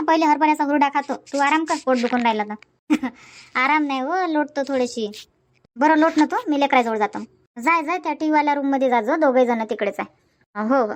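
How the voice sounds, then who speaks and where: Cartoon dub: woman as gossipy village neighbour, Vidarbha accent, high voice. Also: lightly acted. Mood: chatty. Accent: Vidarbha Marathi. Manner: gossipy, complaining, rambling.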